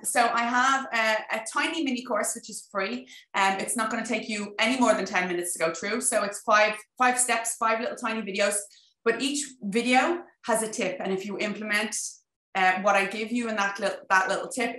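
A woman's voice: mid-range voice, normal speed. A woman in her thirties with a strong Dublin Irish accent, chatting animatedly as a guest on a video call. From Ireland. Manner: chatty and animated, gestures, frequent "you know". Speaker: guest, business coach. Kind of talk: casual video-call interview. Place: home office, indoors. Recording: echoey room.

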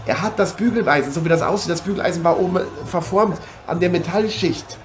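A man playing a boxer who co-commentates in dramatic dismay, rambling and halting, in improvised parody live commentary. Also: high-pitched, natural voice. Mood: explaining. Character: American boxer Matthew Ironhead co-commentating, dramatically aghast. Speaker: boxing co-commentator. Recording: noisy amateur recording with ambience.

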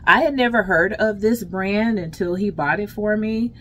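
A middle-aged American woman chatting casually and emphatically to her viewers as a lifestyle vlogger, in an unhurried way. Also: medium pitch. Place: closet at home. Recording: clear background noise, home vlog.

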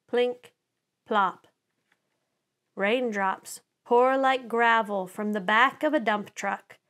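Lady reading a kids picture book out loud, really slow n deliberate, voice a bit high, expressive storyteller style. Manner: animated, deliberate storytelling with dramatized sound words. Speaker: children's author reading own book aloud. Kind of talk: expressive picture-book read-aloud for preschoolers.

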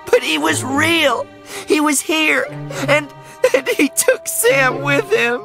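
Storybook young girl, acted by a man: high-pitched, distraught, breathless, pleading to be believed, animated. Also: slow, gravelly, faint music behind, full character voice. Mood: tearful, insistent. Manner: sobbing, breathless, choked. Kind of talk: audiobook character acting.

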